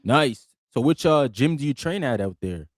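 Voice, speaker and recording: A man's voice: mid-range voice, lively intonation, moderate pace, dry recording, studio quality. A man hosting a podcast, asking casual questions and filling in with short, easygoing affirmations. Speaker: podcast host. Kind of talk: casual interview chat.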